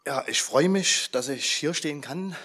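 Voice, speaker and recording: A slightly hoarse man who is under the weather gives a chatty, informal talk to a gathered congregation and keeps asking them questions. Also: a little high, normal speed, fairly bright tone. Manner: chatty, asks the audience questions.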